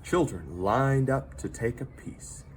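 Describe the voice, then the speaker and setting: Animated, unhurried man reading a children's story. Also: mid-range voice, a little hiss or hum. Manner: dramatic storyteller delivery with pauses for effect. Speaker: teacher reading a picture book aloud. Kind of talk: expressive children's story read-aloud.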